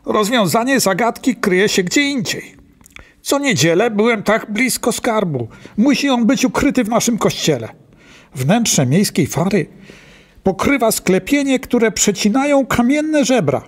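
A man's voice: high voice, big pitch swings, a little slow, full character voice. A man playing a stubborn, boastful blacksmith and treasure hunter in a radio play, emphatic and self-assured. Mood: confident, excited.

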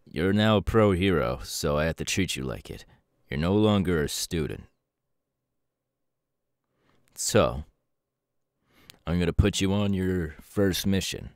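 Guy doing a serious hero mentor for asmr roleplay, really low voice, dry close sound. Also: animated, a little slow, long pauses, dry recording. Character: serious young male pro hero mentor briefing his protégé.

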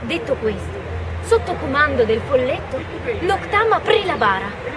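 A young woman tells a fairy tale in a very high, swooping voice with dramatic projection. She is recorded from a distance in a city square, with loud street noise all around. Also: big pitch swings, moderate pace. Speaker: storyteller. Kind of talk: live theatrical fairy tale storytelling. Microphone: camera a few metres away. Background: traffic and people outdoors.

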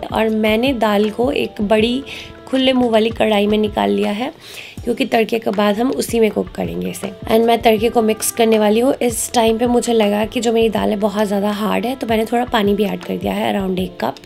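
A woman's voice: a little high, fairly even intonation, brisk, creaky, darkish tone, soft background music. A woman, chatting casually and instructively as she narrates a recipe, switching in English words. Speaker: cooking vlog host. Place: home kitchen, indoors.

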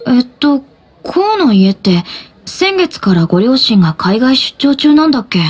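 A woman voicing a gentle, curious teenage high school boy in an anime-style game. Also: sing-song, normal speed, slightly rough, full character voice. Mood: puzzled, curious.

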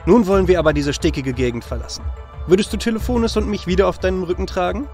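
A man acts out a noble warrior hero of a fantasy audio drama, his voice slightly high for a man. He speaks solemnly and earnestly, like one who has learned humility, over faint music. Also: fast talker, darkish tone. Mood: resolute. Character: noble, repentant warrior hero, son of war god.

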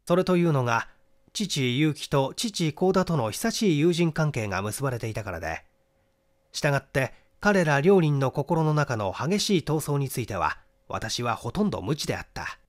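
A man reading a literary detective story aloud as scripted narration, with an animated storytelling delivery. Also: medium pitch, normal speed. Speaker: audiobook reader.

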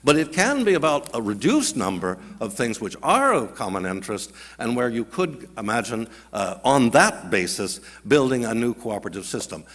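Lively, emphatic older man at a podium. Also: medium pitch, moderate pace, hardly any pauses. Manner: emphatic, colloquial, gesturing. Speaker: panel speaker, scholar. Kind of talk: conference speech. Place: large auditorium at a podium. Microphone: podium microphone. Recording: long hall reverb.

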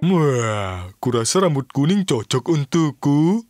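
A man voicing an excitable, good-natured cartoon cook in a children's show, with exaggerated, drawn-out exclamations. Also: on the high side. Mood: dismayed.

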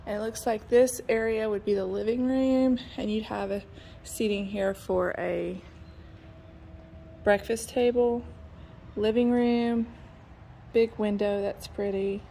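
A woman's voice: mid-range voice, steady tone, slow, vocal fry, pauses often, warm tone, slight background noise. A woman, chatting casually and thinking aloud with plenty of ums while she walks through a house being built, filmed on a phone. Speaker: real estate agent. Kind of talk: casual walkthrough video. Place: house under construction, indoors and in the yard. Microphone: phone held by the speaker.

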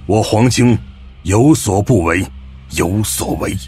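A man's voice: fairly low pitch, slow pace, darkish tone, soft background music. An actor playing a resolute, grave swordsman in an audio drama, speaking with emphatic deliberation.

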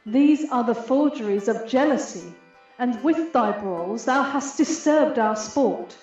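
A woman's voice: fairly high pitch, lively intonation, darkish tone. A woman playing a proud, aggrieved fairy queen in a Shakespearean radio play, speaking stately, declamatory verse. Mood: indignant. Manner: stately, measured, declamatory delivery. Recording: heavy reverberant echo, muffled, music behind. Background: music.

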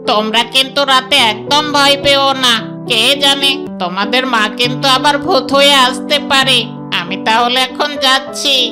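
A woman's voice: sits high, steady tone, moderate pace, lightly acted. A woman voicing a sly fox uncle in a children's cartoon, cajoling with false sweetness and sympathy. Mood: coaxing. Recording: music under the voice.

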